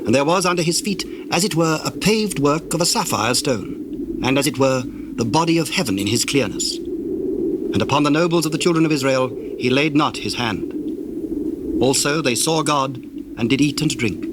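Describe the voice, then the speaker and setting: A man giving a formal, measured narration of dramatized scripture. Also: mid-range voice, lively intonation. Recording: very noisy old cassette tape.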